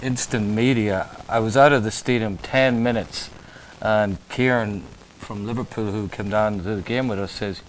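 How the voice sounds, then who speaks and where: A man's voice: on the low side, steady tone, normal speed, hardly any pauses, a little hiss or hum, rough recording. Easygoing Irish man telling stories. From Ireland. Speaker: guest, bar owner. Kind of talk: casual chat.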